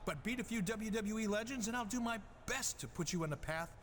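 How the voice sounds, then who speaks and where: A high-pitched, smug boss. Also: male voice, expressive, fairly quick, fairly bright tone, slight background noise. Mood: grudging, evasive. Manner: condescending, emphatic. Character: smug, bullying male wrestling general manager. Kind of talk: scripted video game cutscene acting.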